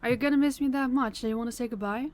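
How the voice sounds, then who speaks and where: A woman playing a kind, practical vampire guide in an audio roleplay, speaking in a warm, reassuring manner. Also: a little high, very fast, a little hiss or hum, natural voice. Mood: upbeat, practical.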